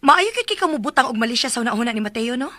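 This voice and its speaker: Close, dry and high, this is an exasperated young wife in a radio drama. She speaks with a Cebuano accent in a very bright voice over a light music bed. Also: lively intonation, fast talker, no echo. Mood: reproachful.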